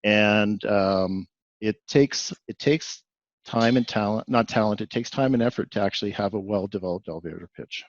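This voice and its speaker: A man's voice: on the low side, normal speed, no echo, muffled recording. A man from Canada with a Canadian accent giving a webinar presentation, rambling and restarting with lots of fillers.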